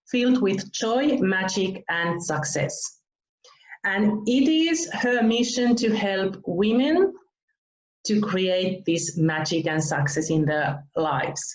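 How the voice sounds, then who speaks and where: A woman from Finland hosting an online interview, gushing with praise and laughing softly as she talks. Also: mid-range voice. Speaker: interview host. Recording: roomy, dull video call audio.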